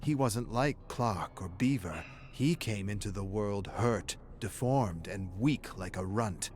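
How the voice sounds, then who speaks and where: A man narrating a dark horror story in a dramatic, scripted style, with a measured, grave and brooding delivery. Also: on the low side, expressive.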